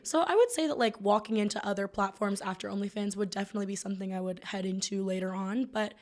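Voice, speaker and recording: A young woman with a raspy voice, chatting casually as a guest in an interview. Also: mid-range voice, fast talker. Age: young adult. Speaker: podcast guest, online adult content creator. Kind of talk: casual chat.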